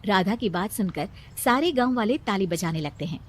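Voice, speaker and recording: A woman narrating a cartoon storybook. She tells it in a plain, even way with a mid-range voice. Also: medium pitch, moderate pace, a little hiss or hum.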